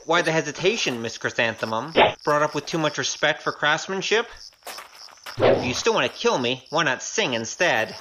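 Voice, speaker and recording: A woman's voice: deep voice, expressive, normal speed, heavy background noise. A woman acting in an audio drama as a glib young male gambler, wry and evasive, with hesitant patter. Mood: wry, needling.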